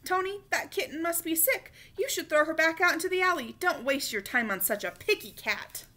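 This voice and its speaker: Woman reading as a blunt, dismissive storybook uncle, high-pitched. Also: expressive, fairly quick, sharp tone, full character voice. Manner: blunt, scolding. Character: gruff, dismissive uncle working in a restaurant kitchen.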